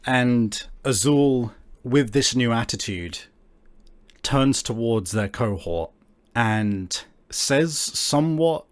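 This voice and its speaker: A man narrating a tabletop game as game master, improvising haltingly and searching for his words. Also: mid-range voice, animated.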